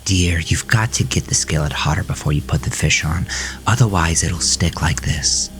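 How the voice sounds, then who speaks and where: A man voicing an uncanny dead mother in a horror audio drama, soft-spoken, sweetly nagging and wistful. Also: deep voice, moderate pace, faint music behind. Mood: gently chiding. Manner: motherly, gently chiding.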